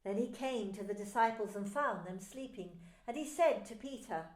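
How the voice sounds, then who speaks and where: A woman narrating a dramatised Bible story in scripted, expressive storytelling. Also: mid-range voice, normal speed. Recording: echoey room with faint music.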